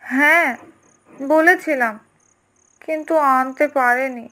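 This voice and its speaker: A woman's voice: high-pitched, takes it slowly, bright, forceful tone, full character voice, low-quality recording. A woman voicing a patient young boy's mother in a cartoon, reassuring and coaxing. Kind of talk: cartoon voice acting. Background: background music.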